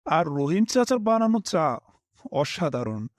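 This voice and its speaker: A young man tells a horror story as its main character. His voice sits high for a man and moves with lots of melody. He reads as a scripted, expressive storyteller, slipping into polite dialogue. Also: a little slow. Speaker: first-person narrator and main character.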